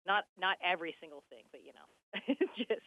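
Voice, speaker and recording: A woman's voice: on the low side, lively intonation, normal speed, sharp tone, slight background noise. A woman hosting a podcast, asking casual, chatty interview questions over a radio link. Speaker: podcast host. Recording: tinny radio link, dry.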